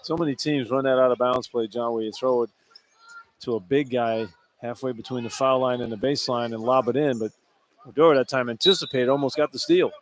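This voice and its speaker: A man's voice: medium pitch, steady tone, brisk, slight background noise. A man giving live radio color analysis of basketball, explaining plays in informal, emphatic short remarks. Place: courtside in an indoor arena.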